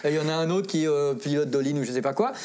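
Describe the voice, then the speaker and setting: A woman's voice: low voice, racing, dark tone. A woman presenting a film column in casual panel talk, with an emphatic, repetitive, slangy way of talking. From of Italian origin. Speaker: film columnist on a geek culture show.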